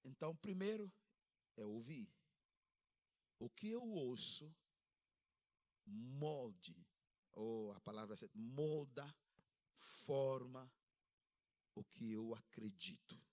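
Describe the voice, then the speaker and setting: A man's voice: expressive, takes it slowly, pauses often, mellow. Ghanaian-accented man preaching with soaring emphasis. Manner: emphatic preaching with sudden high-pitched rises. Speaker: pastor. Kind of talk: sermon. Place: church service.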